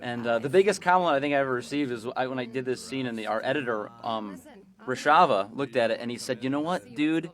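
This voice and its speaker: A man's voice: medium pitch, quick pace. A man working as an animator, chatting casually on a commentary track in a laid-back, slangy, jokey way. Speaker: cartoon animator.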